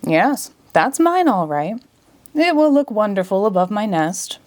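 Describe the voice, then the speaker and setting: A woman acts a self-satisfied male bird from a storybook. He speaks unhurriedly, with lilting, animated pitch. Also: unhurried. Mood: pleased. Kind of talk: children's storybook read-aloud.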